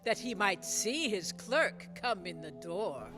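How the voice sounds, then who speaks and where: A woman's voice: medium pitch, sing-song, slow pace. A woman narrator delivering dramatic scripted storybook narration for a radio play. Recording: music behind.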